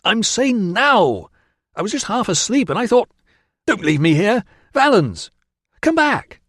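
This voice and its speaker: A chained Roman soldier, urgent and pleading as he insists on his own sanity, voiced by a male narrator. His voice is pushed well above the reader's natural pitch and loud, in a dry, close recording. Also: huge pitch swings, normal speed, no room sound. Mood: desperate.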